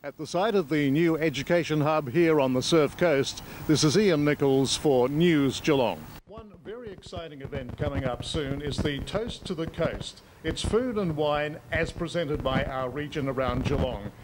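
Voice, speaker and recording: A man's voice: a little high, moderate pace, few pauses. An Australian man working as a local TV news reporter, conducting interviews on location. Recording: noisy outdoor location, traffic ambience.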